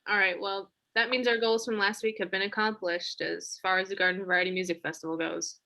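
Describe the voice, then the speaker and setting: Brisk female office organizer, bright forceful voice, sarcastic and businesslike, comedy web series. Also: mid-range voice, level tone, vocal fry. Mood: businesslike, wry. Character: brisk, sardonic female office meeting leader.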